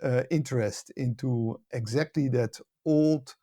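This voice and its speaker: A middle-aged or older man from the Netherlands with a Dutch accent, explaining things in a measured, conversational way as an interview guest. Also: medium pitch, mellow. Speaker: podcast guest, academy director and author.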